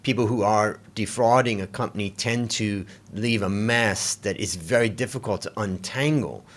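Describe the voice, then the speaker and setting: A man's voice: on the low side, normal speed. A man hosting an interview, asking curious, conversational questions. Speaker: podcast host.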